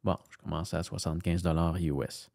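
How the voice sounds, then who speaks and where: A man with a very low, gravelly voice and a Canadian French accent talks casually, thinking aloud with frequent fillers and repeated starts. The sound is dry and close, with no room around it. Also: level tone, fairly quick, a little mellow, dry recording. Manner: rambling, many fillers and restarts, thinking aloud. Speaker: voice-over narrator. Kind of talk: casual screen-share commentary.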